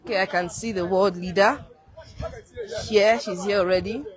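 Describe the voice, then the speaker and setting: A man's voice: high voice, fairly even intonation, moderate pace. A man interviewing people on site at a large venue, polite and addressing guests as sir and ma. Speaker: interviewer with camera. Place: large outdoor expo venue. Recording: loud crowd behind. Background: crowd noise.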